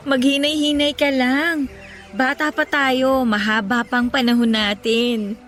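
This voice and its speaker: Girl doing a young filipina sweetheart in a radio love drama, highish voice, gentle n sensible, soft music behind. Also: takes it slowly. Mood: gently teasing, sensible. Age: young adult. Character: caring, level-headed young provincial girlfriend. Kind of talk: Tagalog radio romance drama acting.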